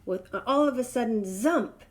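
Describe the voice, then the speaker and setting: A woman's voice: a little high, moderate pace. A woman storyteller reading a children's tale aloud in a dramatic, emphatic way.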